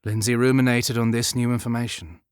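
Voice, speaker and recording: A man's voice: mid-range voice, level tone, a little fast, smooth, no room sound, clean studio sound. A man narrating a period romance audiobook as scripted fiction.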